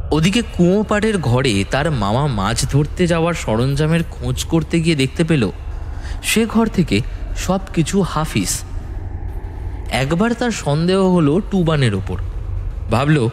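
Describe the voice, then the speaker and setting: A man narrating a scripted horror story in a dramatic, expressive and suspenseful storytelling manner. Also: a little high, a little hiss or hum.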